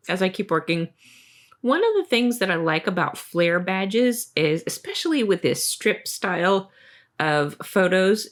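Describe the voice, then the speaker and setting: A woman with a slightly hoarse voice from a cold, hosting a casual craft tutorial. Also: fairly low pitch. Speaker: host of a crafting video channel.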